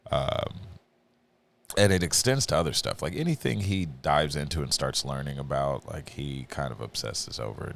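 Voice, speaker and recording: A man with a deep radio voice, chatting casually as a podcast guest, relaxed and swearing, rambling with restarts. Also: creaky, slight background noise. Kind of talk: casual chat.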